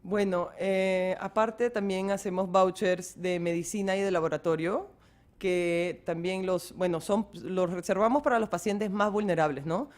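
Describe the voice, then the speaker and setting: Lower-voiced woman explaining a clinic project. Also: steady tone, unhurried. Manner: fluent, explanatory, mixes English terms. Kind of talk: radio interview.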